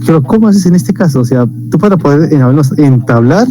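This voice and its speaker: A man's voice: on the high side, expressive, quick pace, warm tone. A man from Peru, chatting thoughtfully and explaining cultural topics to a stranger on a video call. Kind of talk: casual video chat.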